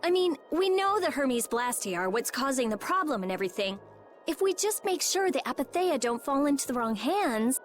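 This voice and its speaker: An actress playing an earnest, excitable and anxious youngster in a video game. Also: female voice, sits high, normal speed. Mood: pleading, anxious. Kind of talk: scripted video game voice acting. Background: game music.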